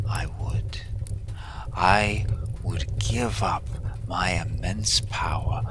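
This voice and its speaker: Slow, whispering lovestruck villain, acted out by a performer. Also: deep voice. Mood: soft, yearning. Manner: theatrical, slow, breathy, pleading declarations. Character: centuries-old lovestruck villain, grandiose and devoted. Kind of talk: romantic villain audio roleplay monologue.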